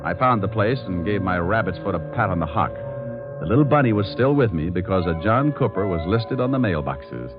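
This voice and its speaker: A dull, lo-fi vintage radio recording of a hardboiled private eye. He is low-pitched and sardonic, tossing off slangy wisecracks with lively swings of tone. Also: a little fast, over music. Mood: dry, wry. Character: wisecracking, cool, hardboiled male private detective. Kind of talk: 1940s radio detective acting with narration.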